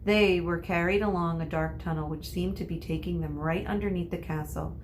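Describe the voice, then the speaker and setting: A woman teacher reading a children's novel aloud in a deliberate, explanatory way. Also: fairly low pitch, steady tone, a little hiss or hum, poor audio. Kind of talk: storybook read-aloud.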